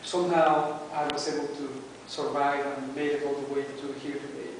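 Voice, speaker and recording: A man's voice: medium pitch, little pitch movement, moderate pace, background noise. Hesitant Mexican man speaking in an echoey room. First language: Spanish. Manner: hesitant, many "uh"s and restarts. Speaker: club member giving a speech. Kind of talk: prepared personal speech to a club. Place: meeting room. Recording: echoey room recorded from far off.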